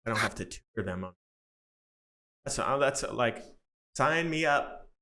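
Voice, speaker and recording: A man's voice: mid-range voice, lively intonation, noisy background. Upbeat male interview host. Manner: upbeat, quick rapport-building questions. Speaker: podcast host. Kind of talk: casual interview hosting.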